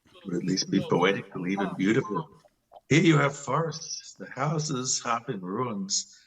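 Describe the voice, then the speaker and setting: A man playing a disillusioned, lovelorn country doctor in an amateur play reading, with a husky voice and a theatrical, halting delivery. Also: on the low side, expressive, recorded from a distance, exaggerated voice, poor audio. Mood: pleading, intense.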